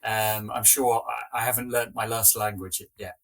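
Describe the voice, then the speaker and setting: A man talking as a guest on a podcast, chatting in a relaxed way with frequent fillers. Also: on the low side, normal speed. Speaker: podcast guest, business owner. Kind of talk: casual interview chat.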